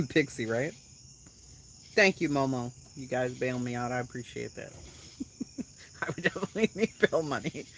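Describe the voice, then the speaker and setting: A woman's voice: extremely low pitch, animated, measured pace, long pauses, slight background noise. Salty, laughing Boston woman. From Boston area, USA. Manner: blunt and salty, swears freely, laughs often. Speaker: channel host. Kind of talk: casual live-stream chat, reacting to viewer comments.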